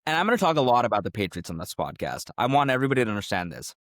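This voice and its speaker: A man's voice: medium pitch, big pitch swings, rapid-fire, fairly bright tone, no room sound, clean studio sound. A man co-hosting a sports podcast in casual banter, joking and laughing often, a little under the weather. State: sick, recovering from illness. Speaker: podcast co-host.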